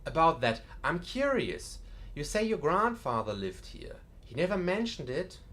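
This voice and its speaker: A man's voice: a little high, very animated, a little slow, a bit bright. A man playing a genial, wry museum curator in an audiobook drama, chatty and dryly joking with a macabre humour. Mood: matter-of-fact.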